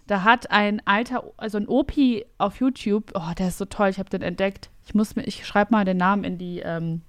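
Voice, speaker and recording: A woman in her late twenties hosting a podcast, thinking out loud in casual chat with a reflective, self-mocking manner. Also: medium pitch, steady tone, normal speed, no echo, studio quality. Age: late 20s. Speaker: podcast host.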